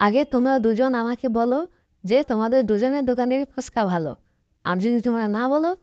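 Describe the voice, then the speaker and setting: A woman's voice: a little high, a little mellow, no echo, lo-fi sound, natural voice. A woman voicing a contented, chatty young fox wife in a cartoon story.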